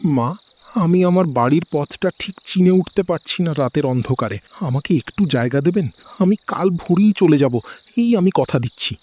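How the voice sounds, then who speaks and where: A man's voice: on the high side, animated, moderate pace, muffled recording, slight background noise, close to an everyday voice. A man voicing a poor, kind-hearted village traveller in a cartoon moral story, polite and theatrical in delivery. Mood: pleading.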